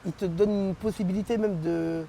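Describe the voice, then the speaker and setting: A man's voice: high voice, brisk, vocal fry. A man, animatedly telling stories as an interview guest, constantly checking that the listener follows. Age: 20s. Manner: animated storytelling, frequent "tu vois". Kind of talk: casual street slang chat.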